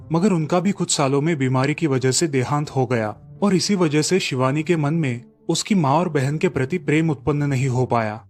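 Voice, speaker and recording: Casting: male storyteller for animated tales, slightly high register, scripted delivery, light musical bed. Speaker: narrator. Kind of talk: scripted storybook narration for cartoon.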